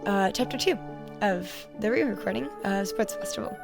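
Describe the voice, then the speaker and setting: A woman's voice: mid-range voice, normal speed, vocal fry, music in the background. A young woman reading story narration for an audio fan fiction while sick. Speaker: podfic narrator.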